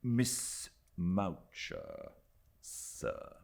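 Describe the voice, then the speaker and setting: A deeply deferential manservant from a classic novel , voiced by a male narrator. He speaks very slowly and low, placing each word with serene, measured respect and a quietly superior air. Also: huge pitch swings, vocal fry, exaggerated voice. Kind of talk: audiobook character voice.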